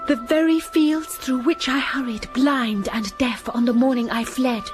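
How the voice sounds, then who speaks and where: An actress playing a young English governess, narrating in the first person with measured, wistful delivery over music. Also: female voice, high-pitched, fairly even intonation. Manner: measured, wistful, rising to urgent. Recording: music under the voice.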